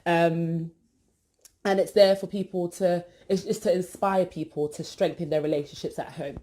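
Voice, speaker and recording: A young British woman, hosting her podcast and introducing herself in a chatty, animated way with many fillers and asides. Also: fairly low pitch, normal speed, slight background noise. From Britain, born in East London, family from Ghana. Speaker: podcast host. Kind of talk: casual self-introduction.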